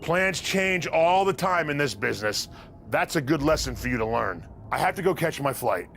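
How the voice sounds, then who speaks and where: An actor playing a blunt, businesslike veteran wrestling scout in a video game, speaking in a measured, matter-of-fact way. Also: male voice, on the high side, lively intonation, creaky, fairly bright tone, slight background noise. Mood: blunt, firm. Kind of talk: video game cutscene acting.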